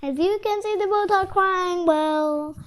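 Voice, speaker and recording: A child reads a picture story aloud in a high voice. The delivery is slow and halting, moving between the written tale and simple explanatory asides. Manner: halting, adds own comments on pictures. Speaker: story reader.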